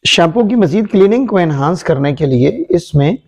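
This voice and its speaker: A man explaining a formulation step by step, unhurried and hesitant, in an indoor workshop. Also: a little high, animated, a little mellow. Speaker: chemistry channel presenter. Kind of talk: hands-on formulation demonstration.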